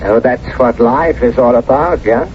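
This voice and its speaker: A man's voice: mid-range voice, moderate pace, natural voice. Man playing genial, self-assured businessman. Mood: pleased. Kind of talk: 1970s radio drama acting. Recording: muffled, faintly noisy old radio broadcast.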